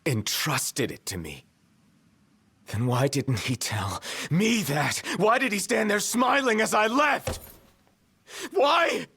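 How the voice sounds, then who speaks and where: A man's voice: sits high, very animated, takes it slowly, gravelly, bright, forceful tone, breathy edge, full character voice. A man playing a brooding young prince thrust into kingship in a video game, with a terse, casual, emotionally raw delivery. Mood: anguished, bitter. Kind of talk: video game cutscene acting.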